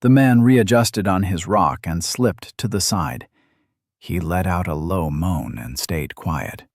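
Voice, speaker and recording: A man narrating a fantasy novel as an audiobook, in a steady, even storytelling manner. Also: deep voice, slow, no echo, clean studio sound.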